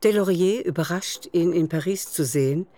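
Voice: mid-range voice, normal speed, dark tone. A narrator reading scripted literary prose in a radio drama. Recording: music underneath. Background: music.

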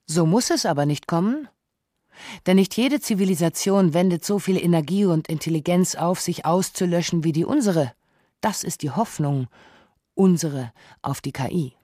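A narrator delivering a scripted literary radio essay in a measured, reflective manner. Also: medium pitch, mellow, dry recording, studio quality.

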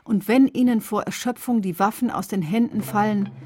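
A woman reciting poetry in a radio drama production, measured and delivered in short deliberate phrases. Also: mid-range voice, natural voice. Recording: music behind the voice. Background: music.

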